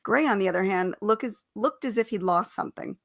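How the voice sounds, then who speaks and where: A woman reading a story aloud as an expressive narrator. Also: medium pitch, brisk, vocal fry.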